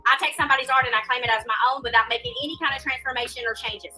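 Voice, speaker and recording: A woman's voice: on the high side, steady tone, very fast, over music, rough recording. A woman in a played clip, ranting with profanity and taunting someone. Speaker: online creator in a played clip. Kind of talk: confrontational rant.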